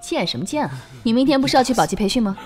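An actress playing a brisk, teasing older-sister police officer in an audio drama. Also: female voice, on the high side, big pitch swings, darkish tone, faint music behind. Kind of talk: Chinese audio drama acting.

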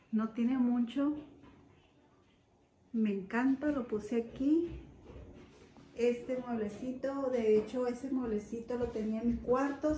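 A woman's voice: a little high, warm tone, a little hiss or hum, low-quality recording. A middle-aged woman, chatting casually to viewers as she walks through her house filming on a phone. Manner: chatty, homely, addresses viewers directly. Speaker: vlogger giving a home tour. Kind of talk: casual home tour narration. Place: house and yard, indoors and outdoors. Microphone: phone camera held while walking.

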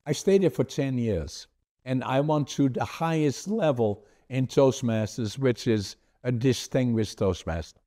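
Older dutch guy hosting a podcast over a video call, english is his second language, talks slow and careful, warm low tone, earnest about his life story. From Netherlands. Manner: earnest, deliberate, gestures with his hands. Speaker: podcast host. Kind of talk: conversational storytelling. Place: home office with framed certificates.

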